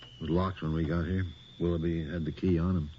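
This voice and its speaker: A man's voice: low-pitched, level tone, a little fast, close to an everyday voice. Casting: a man to play a weary, skeptical police detective, dry and dismissive, for noisy vintage radio horror. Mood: impatient, dismissive. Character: world-weary, gruff, skeptical veteran male police detective. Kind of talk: 1980s radio horror drama acting. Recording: dull, noisy old radio recording.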